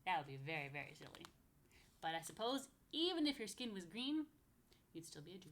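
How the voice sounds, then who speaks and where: A woman's voice: lowish voice, big pitch swings, a little fast, a little hiss or hum. An American woman reading a picture book aloud to young children, with chatty asides and playful questions to her listeners. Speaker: rabbi, story time host for young children.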